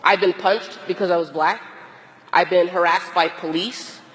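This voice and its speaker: A hoarse, tired woman priest with a cold gives a slow, deliberate sermon with long pauses. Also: lowish voice, fairly even intonation, fairly bright tone, big-room sound, poor audio. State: hoarse, losing her voice from a cold; exhausted. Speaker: Episcopal priest. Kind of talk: reflective sermon.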